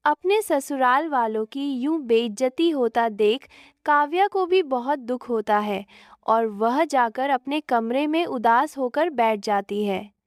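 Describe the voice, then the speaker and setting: A woman's voice: high voice, lively intonation, takes it slowly, dry recording, studio quality. A woman narrating a scripted moral story as its storyteller.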